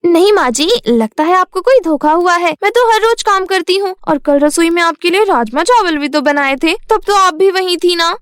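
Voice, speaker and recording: Sly young cartoon bahu female narrator acting, high-pitched, sugary and wheedling, dry recording. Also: expressive, normal speed, few pauses, no echo. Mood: nervous, pleading. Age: young adult. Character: sly, lazy young daughter-in-law, sweetly wheedling.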